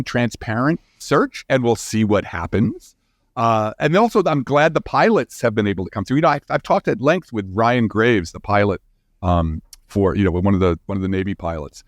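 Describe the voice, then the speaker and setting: A man's voice: medium pitch, no room sound, studio quality. A man speaking as an expert guest in a podcast interview, explaining informally and emphatically, with restarts and checking tags. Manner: informal, emphatic, halting restarts with frequent "you know" and "right?". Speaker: interview guest, scientist and book author.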